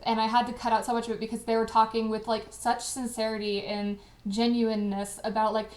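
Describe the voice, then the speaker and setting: A woman co-hosting a casual livestream chat, speaking in an informal, animated way. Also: on the high side, fairly quick. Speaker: host, audio drama creator and dialogue editor. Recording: echoey room.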